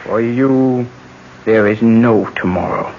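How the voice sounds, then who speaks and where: A performer playing a stern, vengeful elderly aunt in a 1970s radio drama, making solemn, ominous pronouncements in a low voice. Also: slow. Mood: accusing. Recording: dull, noisy 1970s radio broadcast.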